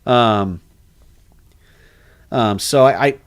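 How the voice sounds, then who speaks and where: A man talks casually to his viewers on a livestream, rambling from one thought to the next. He restarts his sentences often and keeps an animated, chatty energy. His voice sits at a middle pitch. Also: extremely slow. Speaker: horror story narrator and streamer. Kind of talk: casual livestream chat reading comments.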